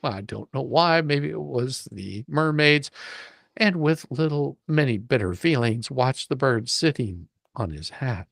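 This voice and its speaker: A man narrating a story as a dramatic reading, with a playful, expressive, theatrical manner. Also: medium pitch, unhurried, vocal fry, dry recording, clean studio sound. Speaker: reader and host of a live dramatic reading.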